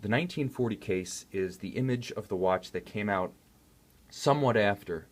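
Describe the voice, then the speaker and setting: A man presenting a product review in an articulate, enthusiastic way, with technical detail and emphasis on key words. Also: sits low. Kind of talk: detailed product review commentary, semi-improvised.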